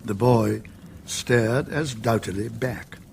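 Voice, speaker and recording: A man narrating a radio drama in a measured, literary storytelling manner. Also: medium pitch, gravelly, darkish tone, a little hiss or hum.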